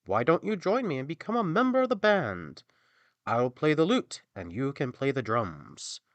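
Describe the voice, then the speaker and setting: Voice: medium pitch, big pitch swings, normal speed, no room sound, pitched above the performer's own voice, lightly acted. A man voicing a friendly, encouraging old donkey in a fairy tale, with a hearty, persuasive manner.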